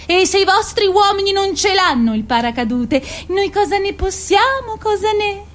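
A woman's voice: extremely high pitch, very animated, moderate pace. A woman playing a haughty, scornful welfare office clerk in a satirical stage drama, bossy and hectoring, repeating her phrases. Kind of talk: theatrical satirical acting.

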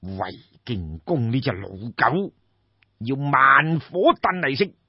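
A man's voice: medium pitch, big pitch swings, slightly rough, full character voice. A man with a Cantonese accent voicing a boastful, jovial but ruthless ancient king in an audiobook. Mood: smug, menacing. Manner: boastful, drawn-out, laughing. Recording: dull, roomy lo-fi recording.

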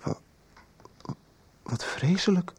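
An actor playing an earnest, emotional teenage schoolboy in a naturalistic radio drama, speaking informally and a little haltingly. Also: male voice, darkish tone, natural voice. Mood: shocked, hushed.

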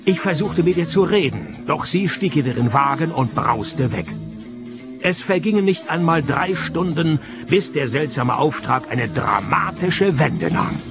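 An actor playing a dry, stubborn private detective in a radio play, with a Swiss accent and a deadpan, sardonic delivery. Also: male voice, a little high, unhurried, music in the background. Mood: dry, matter-of-fact. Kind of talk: radio play acting and first-person narration.

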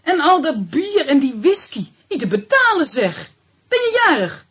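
A woman's voice: high-pitched, wildly swooping pitch, normal speed, a bit bright, exaggerated voice. An actress playing a bossy, grumbling country girlfriend, complaining and exclaiming in a Dutch radio drama. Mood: indignant.

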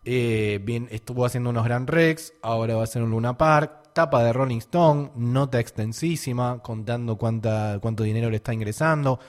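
A man's voice: medium pitch, moderate pace, few pauses. A man with an Argentine accent, hosting a radio show and commenting on music in a conversational way. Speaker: radio host.

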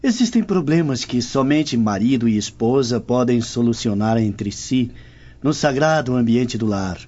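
A man playing a cautious, loyal Roman nobleman in a radio drama, speaking in a formal, measured way. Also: mid-range voice, lively intonation, a little mellow. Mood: concerned, discreet. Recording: dull lo-fi audio.

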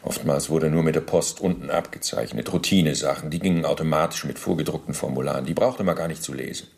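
An actor in a radio play voicing a middle-aged Nazi bureaucrat under interrogation, formal, halting and self-justifying, with deliberate pauses. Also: male voice, rumbling low, creaky, a little mellow. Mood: officious, pedantic. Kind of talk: German radio play, testimony monologue.

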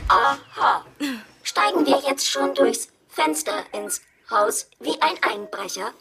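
A woman's voice: sits high, expressive, takes it slowly, gravelly, crowd noise, exaggerated voice. A woman playing a strict household robot in a children's radio play, speaking in a stilted, officious way and prone to getting stuck. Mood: stern, matter-of-fact. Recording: music behind.

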